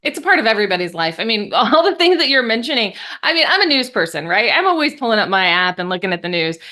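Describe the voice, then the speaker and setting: A woman's voice: medium pitch, very animated, quick pace, sharp tone. A woman hosting a podcast interview, upbeat and chatty, laughing easily. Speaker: podcast host.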